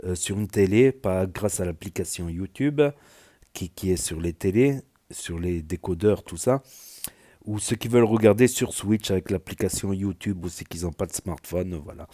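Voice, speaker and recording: Man, southwestern French accent, low voice, mellow tone, rambling casual commentary, lots of fillers. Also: normal speed. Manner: rambling, filler-heavy, many "voilà" and "euh". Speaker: YouTube news commentator.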